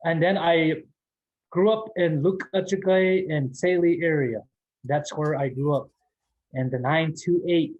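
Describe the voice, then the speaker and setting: A man's voice: fairly high pitch, fairly even intonation, measured pace, a little mellow, highs cut off. A man from the Navajo Nation in New Mexico, giving a casual motivational talk as guest speaker, joking and laughing often.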